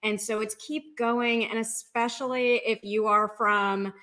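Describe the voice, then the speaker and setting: A woman's voice: fairly high pitch, steady tone, a little slow, bright, forceful tone. A woman speaking casually as a guest author in an interview over a video call. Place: indoor room with bookshelves, video call.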